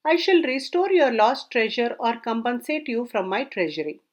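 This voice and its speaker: A woman's voice: a little high, sing-song, normal speed, lo-fi sound. A woman reading the part of a wise, commanding king in a storybook tale, questioning and explaining formally. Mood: concerned, probing.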